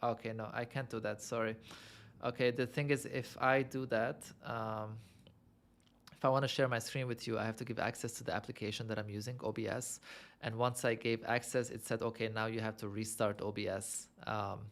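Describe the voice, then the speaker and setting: A man's voice: on the low side, level tone, a little fast, creaky. A young man from Lebanon hosting a casual livestream, answering viewer questions in a chatty, self-amused way and thinking aloud with many fillers. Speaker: livestream host.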